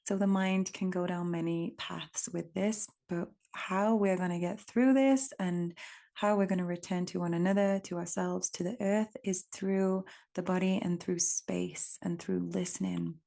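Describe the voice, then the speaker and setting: Soft-spoken English woman with gravelly voice. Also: lowish voice, fairly even intonation. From England. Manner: gentle, unhurried, contemplative. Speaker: podcast host. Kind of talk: reflective solo podcast monologue.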